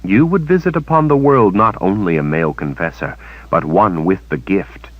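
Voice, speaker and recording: A man's voice: sits low, dry recording, phone-quality sound. A man voicing a cold, smug witch woman. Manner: calm, measured, menacing.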